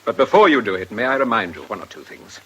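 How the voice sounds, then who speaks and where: An actor playing a guarded, quietly defiant older man in a radio drama, speaking in a clipped, formal way. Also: fairly low pitch, very animated, fast, husky, exaggerated voice, low-quality recording. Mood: firm defiance. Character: guarded, stern older male blackmail victim, quietly defiant.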